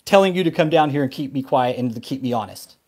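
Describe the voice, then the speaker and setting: A man's voice: mid-range voice, expressive, fast, a little mellow, slightly rough. A man, probably in his thirties, arguing heatedly as a host, blunt and profane, often cutting in. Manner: blunt, combative, profane, interrupting. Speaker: YouTube host confronting a caller. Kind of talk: heated phone argument. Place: small room at home.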